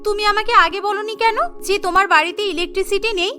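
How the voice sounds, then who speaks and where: A woman's voice: very high-pitched, steady tone, a little fast, pushed and bright. A woman voicing an indignant, hot-tempered young city bride in a cartoon, nagging and complaining. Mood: angry, complaining. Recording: music bed behind the voice.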